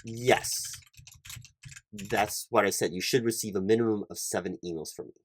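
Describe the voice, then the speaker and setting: A man's voice: on the low side, steady tone, moderate pace, dry recording, natural voice. A man playing a fussy, officious recruiting manager in a one-sided interview roleplay, rambling and repetitive with pedantic detail. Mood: defensive, apologetic. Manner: rambling, repetitive, pedantic, stumbling over long lists. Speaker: ASMR roleplay performer.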